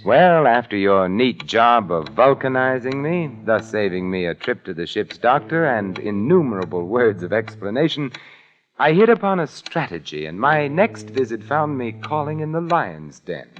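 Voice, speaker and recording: An actor playing a suave, unflappable sleuth with urbane, dry, teasing wit, in a 1940s radio detective drama. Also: male voice, medium pitch, big pitch swings, moderate pace, close to an everyday voice. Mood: wry, amused. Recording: muffled vintage 1949 radio recording.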